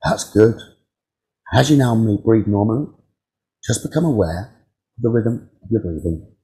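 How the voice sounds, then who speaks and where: A man leading a guided meditation as a teacher, giving calm, soothing instructions with frequent pauses. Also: lowish voice, low-quality recording.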